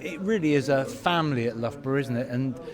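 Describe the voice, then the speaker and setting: A man's voice: medium pitch, brisk. A man hosting casual, congratulatory interviews at a busy theatre event. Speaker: podcast host and interviewer. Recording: busy crowd chatter behind.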